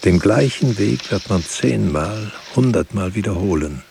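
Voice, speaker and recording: An actor playing a grave, brooding writer, speaking solemnly in halting, deliberate phrases in a poetic radio play. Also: male voice, lowish voice, expressive, gravelly, darkish tone, slight background noise. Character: grave, brooding writer musing on words and silence. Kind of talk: poetic radio drama monologue.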